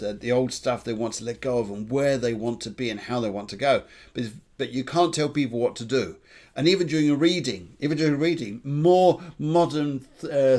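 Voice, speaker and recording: A man hosting a spiritual chat show over a video call, speaking in an affirming, thoughtful way with some pauses and restarts. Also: medium pitch, a little mellow. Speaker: host, medium.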